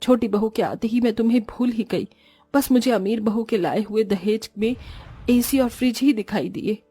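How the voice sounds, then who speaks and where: A woman voicing a stingy, middle-aged Indian-family mother-in-law who speaks low, soft and weary. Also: normal speed, slightly rough, no room sound, soft background music. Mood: rueful.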